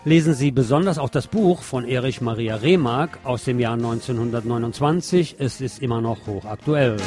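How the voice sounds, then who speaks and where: A man's voice: mid-range voice, a little mellow. A man hosting a radio programme, presenting and reading aloud in a formal, courteous manner. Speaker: radio show host. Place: radio broadcast.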